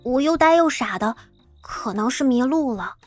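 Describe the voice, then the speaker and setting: A woman playing a cute, innocent-acting young college girl in an audio drama, soft and matter-of-fact. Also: high-pitched, animated, normal speed, faint music behind. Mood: innocent, coy.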